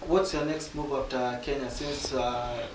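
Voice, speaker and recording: A man's voice: mid-range voice, steady tone, a little slow, a crowd in the background. A male journalist asking interview questions, often stumbling over and repeating his opening words. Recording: roomy with faint background noise.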